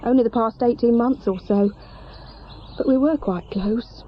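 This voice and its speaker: A woman's voice: on the high side, unhurried, muffled recording. An actress playing a chatty, sympathetic British woman shopkeeper, speaking in a confiding, gossipy way. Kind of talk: naturalistic radio drama acting.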